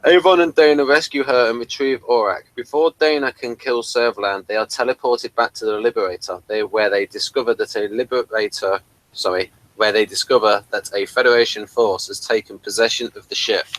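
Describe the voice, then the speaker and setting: A man's voice: mid-range voice, steady tone, a little fast, a bit bright, rough recording. A man hosting a casual review discussion. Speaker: host of a review show.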